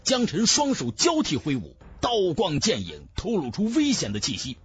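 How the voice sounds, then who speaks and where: A man's voice: fairly high pitch, huge pitch swings, normal speed, husky. A man narrating a fantasy novel in a theatrical, emphatic style, as a scripted audiobook.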